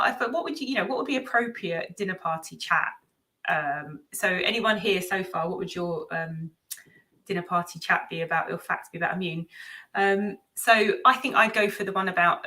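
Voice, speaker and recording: A woman's voice: medium pitch, sharp tone, rough recording. A woman hosting a book readalong livestream, chatting casually in a hesitant way as she thinks aloud. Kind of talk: casual live-stream chat, reading out comments and cards.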